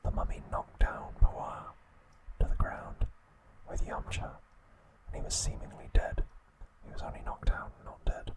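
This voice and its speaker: A man narrating in a breathy, unvoiced ASMR whisper, hushed and measured, in short phrases. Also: rough recording. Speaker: host of an ASMR trivia channel.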